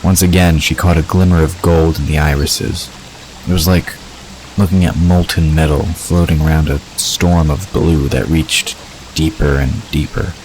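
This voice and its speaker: A man's voice: extremely low pitch, steady tone, moderate pace, darkish tone, slight background noise, rough recording. A man narrating a scripted fantasy story for an audio drama.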